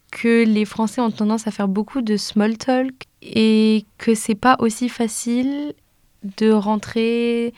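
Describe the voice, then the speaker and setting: A woman's voice: medium pitch, darkish tone, clear, resonant tone, dry recording, studio quality. A woman in a casual group discussion, explaining her observations fluently with a few fillers. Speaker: discussion participant.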